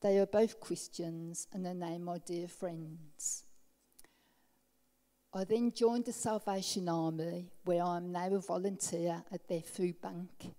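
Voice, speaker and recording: Middle-aged woman, mellow warm voice, emotional testimony, handheld mic, echoey church hall. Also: on the low side, fairly even intonation, slow. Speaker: baptism candidate. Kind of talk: personal testimony. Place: large church hall with stage. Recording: long hall reverb.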